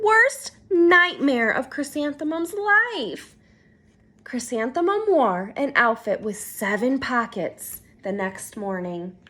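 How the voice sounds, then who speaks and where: Woman, children's storyteller, high voice, slow pace, expressive, teacher-like, dramatic picture book read-aloud.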